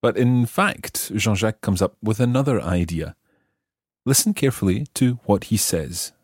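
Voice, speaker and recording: A man hosting a language lesson, explaining example phrases piece by piece with careful, measured articulation. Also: lowish voice, animated, breathy edge, dry recording, clean studio sound. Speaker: language teacher and host.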